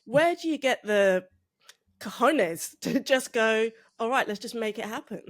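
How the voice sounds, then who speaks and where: Bubbly woman, croaky from a cold. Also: on the high side, expressive, a little slow. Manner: bubbly, effusive, giggly. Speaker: podcast host. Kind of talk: podcast interview.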